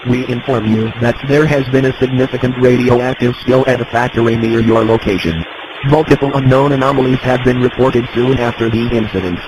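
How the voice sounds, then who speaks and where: A man reading a scripted emergency broadcast in a formal, steady official tone. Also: mid-range voice. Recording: hissy radio broadcast.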